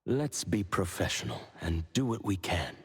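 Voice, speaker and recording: A composed agency boss in a video game. His voice is low and very husky, with long reverb trailing it. He speaks formally, in measured, polite, businesslike phrases. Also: lively intonation, heavily acted. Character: composed, formal male superior at an anomaly-hunting agency.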